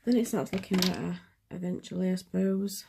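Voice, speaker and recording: A woman's voice: on the low side, fairly even intonation, fairly quick, creaky, background noise. A young woman, chatting casually through a makeup tutorial, sniffly from a cold and often dropping to a whisper as she works. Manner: chatty, self-deprecating, drops into whispers while working.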